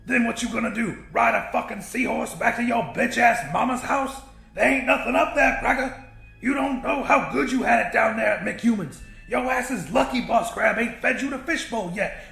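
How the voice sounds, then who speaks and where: A man's voice: very high voice, normal speed, vocal fry, a bit bright, roomy sound, soft background music, voice pushed up, full character voice. A man voicing a big, angry, foul-mouthed cook with a scornful, slangy drawl in an audiobook story. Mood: furious, scornful.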